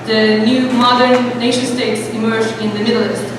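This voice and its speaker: A woman speaks to a gathering in halting, English. Her voice is on the high side and sounds far from the mic, in a very echoey space. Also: level tone. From eastern Turkey. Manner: halting, searching for words in non-native English. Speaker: guest speaker. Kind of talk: informal public talk. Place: indoor public event at a bookstore. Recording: echoey hall from far off, clear background noise.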